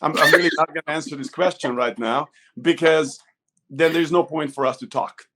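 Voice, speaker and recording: A man's voice: a little high, brisk, bright, forceful tone. A middle-aged man from Hungary with a Hungarian accent, talking informally and discursively as a coach being interviewed. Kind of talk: conversational interview talk.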